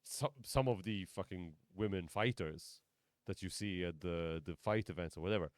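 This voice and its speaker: A deep-voiced man, a comedian and podcast guest, talking casually, often hesitating and restarting his sentences. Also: moderate pace, no room sound, clean studio sound. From Malta.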